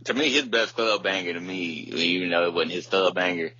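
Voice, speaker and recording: A man's voice: low voice, fairly quick, pushed and bright, slightly rough, no room sound, dull audio. A man chatting casually as a podcast co-host, in slangy talk that often circles back to repeat itself. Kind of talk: casual chat about hip hop.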